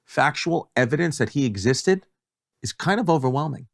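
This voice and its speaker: A man's voice: fairly high pitch, expressive, moderate pace, vocal fry. A man appearing as a guest on a talk show, speaking in an earnest, insistent way.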